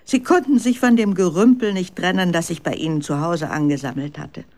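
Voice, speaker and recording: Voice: medium pitch, fairly quick, darkish tone. A narrator telling a scripted story for children in a radio drama.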